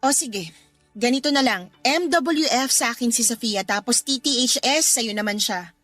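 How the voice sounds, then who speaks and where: A woman playing a brisk, exasperated young Filipino mother in a radio romance drama, casual and snappy. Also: a little high, animated, a bit bright. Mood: bossy, bargaining. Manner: casual, pleading, then snappy and bossy. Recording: music under the voice.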